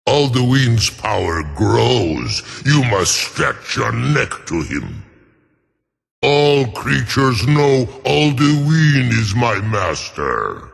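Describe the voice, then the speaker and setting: An actor playing an ancient, proud and solemn dragon in a fantasy game, speaking formally and slowly in a deep gravelly voice. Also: male voice, very animated, heavily acted. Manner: slow, formal, portentous. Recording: long cavernous reverb.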